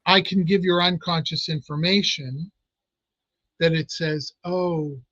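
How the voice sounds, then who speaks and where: A man with a fairly high voice explains hypnosis in a relaxed interview, often restarting his sentences. His voice sounds dry and a bit dull, like remote audio. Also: takes it slowly, dry recording. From Italian American family. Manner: explanatory, many restarts and fillers. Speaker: clinical hypnotist guest. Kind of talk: casual interview talk.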